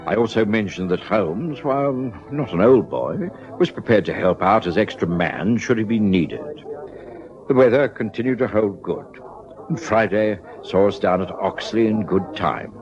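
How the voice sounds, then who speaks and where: A man playing a genial middle-aged English gentleman doctor and narrator in a radio drama, with a British accent. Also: fairly low pitch, lively intonation, normal speed. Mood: measured narrating. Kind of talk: radio drama acting and first-person narration. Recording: dull lo-fi radio recording, music behind.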